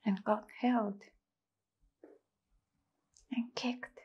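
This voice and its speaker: Resigned, despairing adult daughter for a stage monologue, played by a woman: slightly high, husky, breathy, deliberate short phrases. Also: steady tone, highs cut off, full character voice. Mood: hushed, wistful. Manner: halting, deliberate, long pauses between short phrases. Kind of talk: slow dramatic stage monologue.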